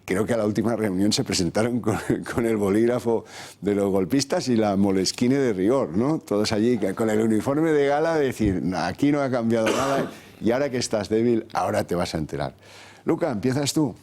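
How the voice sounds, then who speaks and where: A man's voice: on the low side, fairly quick, a little mellow. A man hosting a televised political talk show, introducing guests and posing ironic, rhetorical questions. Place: TV studio.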